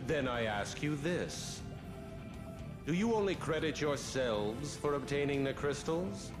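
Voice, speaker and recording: An actor playing a grandiose, arrogant villain emperor in a video game, with a pompous, deliberate, mocking delivery. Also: male voice, a little high, expressive. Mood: smug, mocking. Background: orchestral game music.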